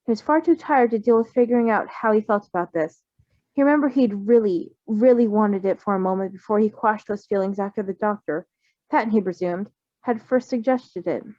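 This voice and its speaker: A woman's voice: mid-range voice, dark tone, no echo, muffled recording. A woman reading fan fiction aloud and chatting about it casually as a co-host. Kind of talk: story reading, then casual excited chat.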